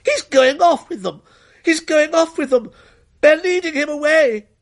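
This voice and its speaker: A man voicing an excitable, frightened, bossy boy who reports urgently in a dramatic ghost story reading. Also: extremely high pitch, unhurried, voice pushed up. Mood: urgent, panicked.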